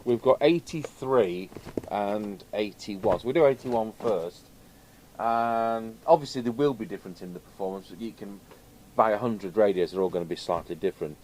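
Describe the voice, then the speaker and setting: Chatty man, lowish voice. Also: moderate pace, a little mellow, rough recording. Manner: chatty, rambling, conversational. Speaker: video host and radio technician. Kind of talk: casual unscripted product chat. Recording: clear background noise.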